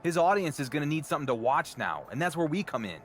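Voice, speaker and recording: An actor playing a confident, cocky young wrestling champion in a video game cutscene. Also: male voice, fairly high pitch, big pitch swings, fast, fairly bright tone, slight background noise. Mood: warm teasing.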